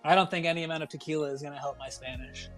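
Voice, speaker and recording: An American man co-hosting a casual chat, teasing the others with quick jokes. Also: on the high side, fairly even intonation, fairly bright tone, soft background music, low-quality recording. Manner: joking, teasing, quick asides.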